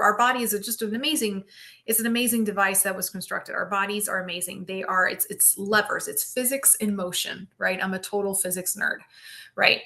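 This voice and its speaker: A middle-aged woman hosting a live physical therapy Q&A, with a bright, forward voice. She teaches in an upbeat, chatty way, often checking in with her viewers. She projects loudly into her device's own mic. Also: mid-range voice. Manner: enthusiastic, frequent "right?" check-ins. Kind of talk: live-stream Q&A, casual teaching. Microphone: built-in device mic.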